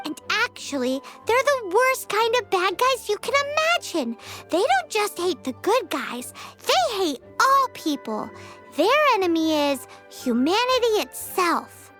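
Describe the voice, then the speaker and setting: Super high squeaky fairy sidekick, cheeky game character, really bouncy pitch, music behind. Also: female voice, very animated, unhurried, a bit bright, exaggerated voice. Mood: earnest, scolding. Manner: squeaky, refers to self in third person. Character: tiny excitable floating fairy companion, childlike and cheeky. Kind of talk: animated video game voice acting.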